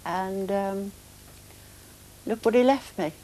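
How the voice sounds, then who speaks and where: Soft-spoken older woman reminiscing. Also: mid-range voice, slow pace, a little hiss or hum, rough recording. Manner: soft, hesitant, emotional reminiscing. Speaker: interviewee, mother of the subject. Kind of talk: documentary interview.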